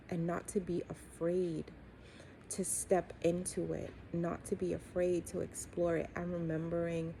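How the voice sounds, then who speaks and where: A middle-aged woman giving an earnest, encouraging, faith-based motivational talk, speaking deliberately with frequent pauses. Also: low-pitched, creaky, dark tone, slight background noise, low-quality recording. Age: 40s or 50s. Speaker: coach and host giving encouragement.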